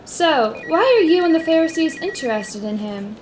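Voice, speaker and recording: A woman's voice: high-pitched, expressive, normal speed, darkish tone, slight background noise, rough recording. A woman playing a knowing, upbeat informant in a detective radio play, chatty and matter-of-fact. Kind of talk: radio theater acting.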